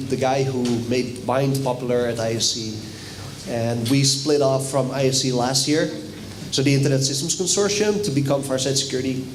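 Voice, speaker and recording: A man's voice: mid-range voice, slight background noise, poor audio. A man whose first language is Dutch, giving a casual, chatty technical talk at a conference and putting questions to the audience. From Netherlands. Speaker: conference speaker. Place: hotel conference room.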